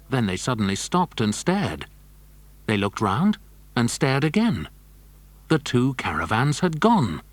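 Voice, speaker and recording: A man narrates a children's adventure story with lively, varied intonation, reading from a script. The sound is dry and close. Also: mid-range voice, dry recording.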